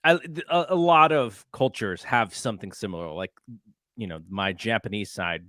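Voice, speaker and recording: A man's voice: lowish voice, normal speed, fairly bright tone, dry recording, studio quality. A middle-aged man co-hosting a sports podcast, talking in a casual conversational way. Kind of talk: casual chat.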